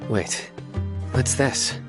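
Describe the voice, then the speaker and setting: A man playing a stern, reserved investigator in a video game, speaking in a terse, measured, serious way. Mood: pensive, focused. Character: stern, reserved male investigator of unwavering principle. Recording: faint music behind.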